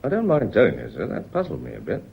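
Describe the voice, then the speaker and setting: A sly British police inspector in a radio play, with a husky voice that swoops up and down. He is politely insinuating, all false deference, heard on a muffled radio recording. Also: lowish voice, huge pitch swings, fast talker, full character voice. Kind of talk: British radio drama acting.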